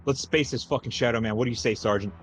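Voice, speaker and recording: A man's voice: medium pitch, fast, faint music behind. A man describing his character's moves in a tabletop game, casual and profane. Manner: animated, profane.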